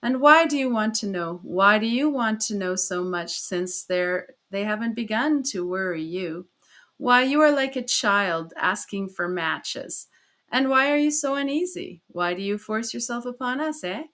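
A woman's voice: mid-range voice, expressive, measured pace, fairly bright tone. A woman voicing a sly male examining lawyer in an audiobook, with an ironic, needling, falsely good-humored manner. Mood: teasing. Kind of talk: dramatized audiobook character reading.

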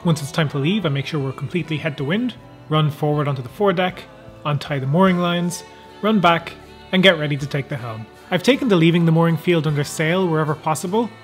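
Higher-voiced man narrating a vlog over music. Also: fast. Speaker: sailing vlogger.

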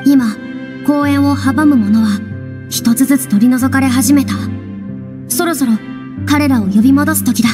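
An actress playing a proud yet earnest young woman in a video game, speaking in a measured, theatrical, formal way. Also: high-pitched. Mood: confident. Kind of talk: Japanese video game voice acting. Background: faint music.

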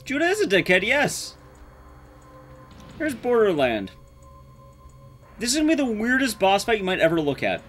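A man's voice: high-pitched, huge pitch swings, normal speed, long pauses, a bit bright, soft background music. A young man giving casual, sweary, self-deprecating live commentary as he speedruns a video game. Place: small room at home, game sound behind.

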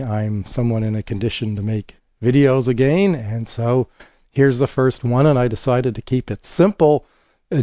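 Slow, hoarse man explaining a bench demo. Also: medium pitch, dark tone, no room sound, clean studio sound. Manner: unhurried, explanatory, thinking aloud while working. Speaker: electronics educator. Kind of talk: hands-on technical demonstration. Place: home studio with monitors and workbench.

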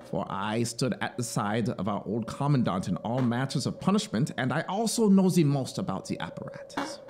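A man voicing a zealous, self-satisfied young penal colony officer in a storybook reading, with an emphatic, deliberate, proudly explanatory manner. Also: mid-range voice, big pitch swings, noisy background, natural voice. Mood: smug, emphatic.

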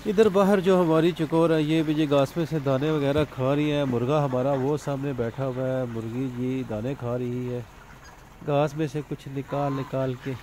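A man's voice: medium pitch, normal speed. Chatty man in a noisy duck yard. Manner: relaxed, chatty, says "ji" often. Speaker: vlogger. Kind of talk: casual vlog narration. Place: outdoor village yard with ducks.

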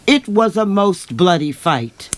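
A man giving a solemn, measured dramatic reading of literary prose at a live bookshop event. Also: high-pitched, big pitch swings, no echo. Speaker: reader in a staged book reading. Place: bookshop event before a seated audience.

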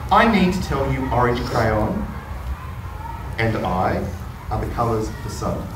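Man as a proud, stubborn yellow crayon. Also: mid-range voice, very animated, unhurried. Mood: boastful, insistent. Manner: boastful, insistent. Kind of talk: storybook read-aloud for children. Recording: distant, roomy classroom with noise.

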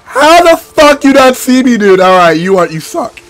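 A man with a sore throat from being sick, giving excitable, rambling let's-play commentary. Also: very high voice, normal speed, faint music behind. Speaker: gameplay commentator.

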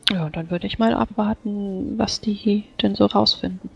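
A man playing a character at a tabletop role-playing session, giving short, measured replies. His voice is very husky and gravelly, and it comes through a dull lo-fi mic. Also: high voice, fairly even intonation, darkish tone. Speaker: player.

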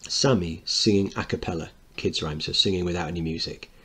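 A man's voice: sits low, fairly quick, a bit bright, breathy edge. A man teaching through narration and commentary on a play, putting rhetorical questions to his listeners. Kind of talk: dramatic reading with explanatory commentary.

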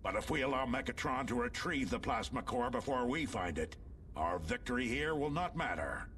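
An actor playing a noble, resolute robot commander in a cartoon-style video game, solemn and commanding. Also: male voice, medium pitch, expressive, moderate pace, a little hiss or hum, close to an everyday voice. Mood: grave, resolute. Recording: distant, under loud music.

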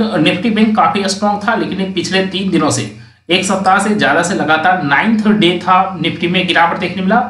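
A man with a slightly high voice walks through market charts one after another, pointing things out and reading price levels aloud. He sounds set back from the microphone in a roomy, echoing space. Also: fairly bright tone. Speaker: stock market analyst. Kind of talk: screen-recorded chart walkthrough. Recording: roomy, distant mic.

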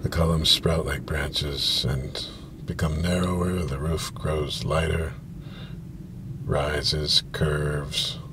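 A man in his fifties with a gravelly voice gives a halting, deliberate literary reading, pausing and repeating himself. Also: a bass voice. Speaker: reader. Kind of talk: literary reading of science fiction prose. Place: inside a parked car, small space. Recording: steady ambient noise of car interior.

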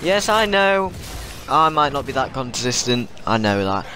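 A man's voice: mid-range voice, very animated, unhurried, sharp tone, slight background noise. A teenage boy with a cold, rambling through casual tips to his viewers over gameplay, often repeating himself. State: has a cold. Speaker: gaming YouTuber.

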